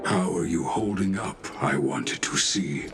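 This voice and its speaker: A gruff, calm warrior man from a fantasy game, speaks tersely and with restraint. His voice is deep and creaky, with music clearly audible behind it. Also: measured pace. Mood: quiet concern. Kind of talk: scripted dramatic game voice acting.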